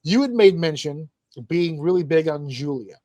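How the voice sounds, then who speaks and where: A man's voice: a little high, expressive, moderate pace, dark tone, no room sound. A man hosting a casual wrestling panel chat, joking crudely with his guests. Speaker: podcast host.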